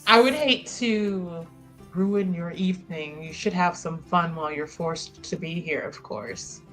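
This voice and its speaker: A woman playing a dry, protective, dutiful hostess in improvised tabletop roleplay, wry and measured. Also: lowish voice, fairly bright tone, faint music behind, rough recording. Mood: reluctant, polite.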